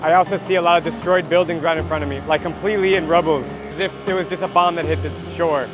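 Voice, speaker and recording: A man's voice: on the high side, fairly even intonation, quick pace. An American man in his thirties hosting a travel vlog in an informal, enthusiastic way. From United States. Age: 30s. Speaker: travel vlogger and host. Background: music.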